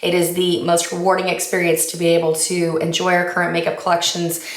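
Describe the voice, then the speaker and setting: Slightly raspy woman, lower-pitched, enthusiastic and rambling, casual chat, echoey room. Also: completely flat delivery, brisk. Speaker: makeup vlogger. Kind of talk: casual chatty update.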